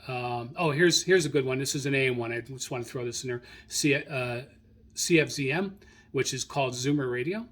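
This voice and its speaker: Halting, informal man hosting a hobby show. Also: mid-range voice, a bit bright. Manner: halting, self-correcting, searching through notes. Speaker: radio hobbyist host. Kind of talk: informal spoken log rundown, unscripted.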